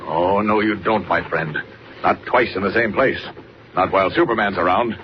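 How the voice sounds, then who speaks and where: An actor playing a brave, commanding superhero in a 1940s radio serial, thinking aloud in urgent declamatory lines. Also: male voice, deep voice, moderate pace, lightly acted. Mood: urgent, determined. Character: male superhero reporter in secret detective action, brave and commanding. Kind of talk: 1940s radio serial acting, narrating his own actions. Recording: noisy, muffled 1940s radio recording.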